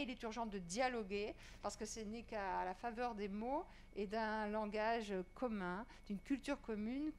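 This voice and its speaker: A woman who writes and teaches, answering earnestly at a panel discussion, fluent but with frequent fillers. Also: fairly high pitch. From Iran.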